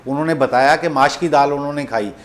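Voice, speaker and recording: Rambling Pakistani prank caller, a man. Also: fairly high pitch. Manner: rambling, repetitive, deliberately confusing. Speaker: prank caller hosting a comedy show. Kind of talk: prank phone call, rambling improvised banter.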